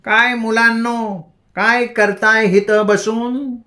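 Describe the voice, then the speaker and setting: Guy doing a wacky village auntie, slow preachy singsong, squeaky high jumps. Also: flat delivery, bright, forceful tone, exaggerated voice. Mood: preachy, cackling. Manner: slow, sing-song, theatrical with cackling pitch leaps. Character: eccentric middle-aged village woman preaching against gambling.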